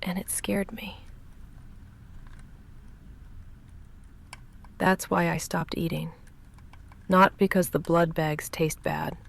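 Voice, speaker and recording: A woman playing a shy, lovestruck vampire in an intimate ASMR roleplay, speaking softly and breathily with halting, confessional hesitation, often in a whisper. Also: lowish voice, steady tone, creaky. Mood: afraid, confessing. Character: shy lovestruck female vampire roommate, confessing and self-restrained.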